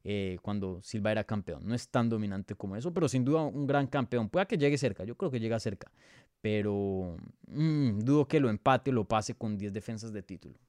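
A man's voice: fairly low pitch, expressive, a little fast, a little mellow, no room sound, clean studio sound. A man with a Bogotá Colombian accent hosting a casual live sports podcast, talking conversationally and thinking aloud as he gives his analysis. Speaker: podcast host and MMA analyst. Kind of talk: casual live sports preview, answering viewer questions.

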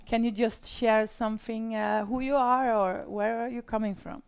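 A woman's voice: on the high side, fairly even intonation, clear, resonant tone. A woman from Sweden hosting an interview in a studio, speaking hesitantly with many "uh"s and encouraging murmurs. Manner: slow, hesitant, frequent "uh" and "mm-hmm". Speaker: interview host.

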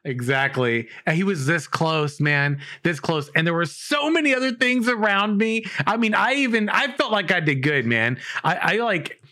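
Guy ranting about a game fight, cussing, bright forceful voice, acts out his reactions. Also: moderate pace, few pauses. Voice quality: says he has a pretty deep voice. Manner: animated, profane storytelling with acted-out reactions. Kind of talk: casual gaming chat.

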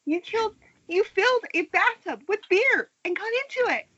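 Woman doing upset schoolgirl, really high sharp voice, accusing her dad, muffled noisy call, table read. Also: animated, moderate pace, exaggerated voice. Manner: accusing, emotional. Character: wounded schoolgirl daughter accusing her drunk father. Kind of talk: amateur table read of a screenplay. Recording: dull, noisy internet call with clicks.

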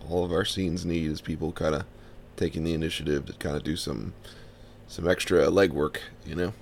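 A man from the Washington DC–Baltimore area hosting a podcast, with a bassy baritone voice, laid-back and chatting with short agreeing replies. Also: fairly even intonation, brisk, creaky, a little mellow, slight background noise. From Washington DC–Baltimore area, USA. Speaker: podcast host. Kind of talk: casual podcast interview.

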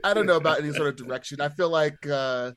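A man's voice: on the high side, quick pace, a bit bright, clear, resonant tone, dry recording, studio quality. A man in casual podcast conversation, chatty and hesitant, padding his thoughts with lots of filler words. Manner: chatty, hesitant, many "like" hedges. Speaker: podcast panelist.

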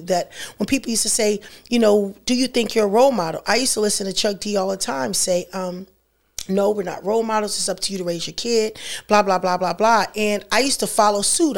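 Middle-aged woman from Los Angeles, guest rapper, casual and animated, restarts her thoughts. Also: medium pitch. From Los Angeles, California. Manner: animated, restarts sentences, slips into rapping lyrics. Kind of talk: casual interview chat.

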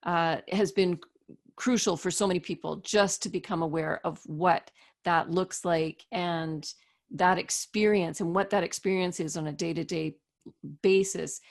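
A woman's voice: fairly low pitch, steady tone, measured pace, gravelly. A woman hosting a podcast interview, speaking thoughtfully and hesitantly, restarting her phrases. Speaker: podcast host. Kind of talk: conversational interview.

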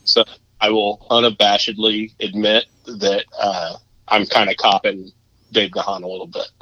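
A man with a low voice, a musician guest talking casually in an interview, rambling and self-deprecating. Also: flat delivery, moderate pace, sharp tone, no echo, lo-fi sound.

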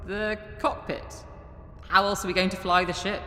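A woman's voice: mid-range voice, steady tone, moderate pace, background noise, lightly acted. A woman playing a confident journalist in a science fiction audio drama, dry, self-assured and probing.

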